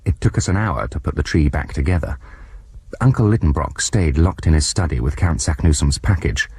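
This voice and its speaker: An actor playing a man narrating his youthful adventure in a radio drama, measured, wry and fond. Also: rumbling low, darkish tone, slightly rough, dry recording, full character voice. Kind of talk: scripted first-person radio drama narration.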